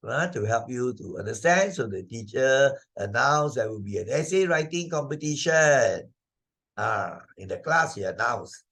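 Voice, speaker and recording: A man's voice: mid-range voice, slightly rough. An older man with a Malaysian accent, narrating and explaining a children's moral story as a teacher, in a didactic, repetitive way with frequent tag questions. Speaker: Sunday school teacher narrating slides.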